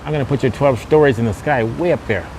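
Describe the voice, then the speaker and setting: A man's voice: mid-range voice, fast talker, a little mellow. A man hosting a casual walking tour outdoors, talking in repetitive promotional patter. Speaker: podcast host and walking tour guide. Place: outdoors on a shopping mall street. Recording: noisy outdoor street with passing cars.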